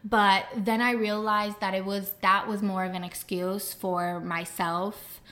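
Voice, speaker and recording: A young adult woman speaking candidly as a podcast guest, halting often with fillers and restarts. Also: medium pitch, level tone, fairly bright tone. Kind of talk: candid conversational interview.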